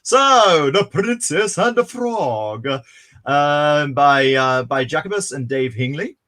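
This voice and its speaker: A man co-hosting a casual comics livestream, chatty and rambling with bursts of excitement. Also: a little high, slow. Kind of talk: casual chat.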